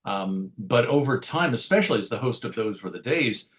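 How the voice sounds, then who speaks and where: A man's voice: lowish voice, lively intonation, moderate pace, fairly bright tone. Middle-aged American man reminiscing in an interview. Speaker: interview guest, radio show host. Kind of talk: reflective conversational interview storytelling.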